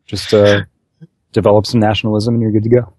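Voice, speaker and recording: Low, husky man getting over an illness. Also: no room sound. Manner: wry, deadpan jokes. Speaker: podcast co-host. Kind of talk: casual podcast chat.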